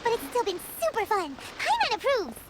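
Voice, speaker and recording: A woman voicing a tiny, excitable, food-loving fairy companion in a video game, childlike and squeaky, with bubbly delivery. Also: fairly quick, very noisy, heavily acted. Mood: excited. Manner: bubbly, refers to self in third person.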